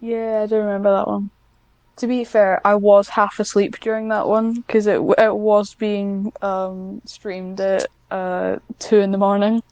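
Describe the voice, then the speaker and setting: A woman's voice: mid-range voice, flat delivery, measured pace, a little mellow, clear, resonant tone, no echo. A woman chatting casually on a podcast as the interviewer, informal and a little hesitant. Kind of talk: casual chat.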